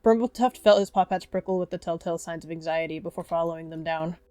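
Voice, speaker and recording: A woman's voice: fairly low pitch, quick pace, dark tone, clear, resonant tone, dry recording, soft background music. A woman reading scripted story narration for an animal roleplay.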